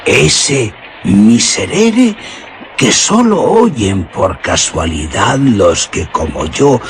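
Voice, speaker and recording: A man's voice: mid-range voice, very animated, a little hiss or hum, exaggerated voice. A man playing a rustic herdsman who tells an old legend in a mysterious, suspenseful radio drama manner. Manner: mysterious, drawn-out, suspenseful storytelling.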